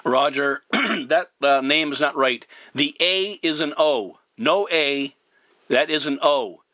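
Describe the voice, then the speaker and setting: A man talking as a CB radio operator, courteous and formal, deliberately repeating himself in radio procedure style. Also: a little high, pushed and bright, no room sound. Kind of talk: radio contact talk, spelling in phonetic alphabet.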